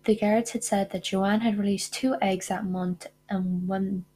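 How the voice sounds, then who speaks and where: A woman's voice: mid-range voice, steady tone, a little slow, mellow, husky. A young woman narrating a true-crime podcast from a script, breaking off into indignant asides. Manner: indignant asides between read facts. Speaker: podcast host.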